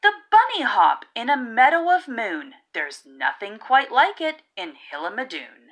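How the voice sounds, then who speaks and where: A woman reading a children's picture book aloud in a rhythmic, theatrical way with drawn-out emphasis. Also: a little high, sing-song, a bit bright. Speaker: read-aloud narrator of children's picture books. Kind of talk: expressive storybook reading.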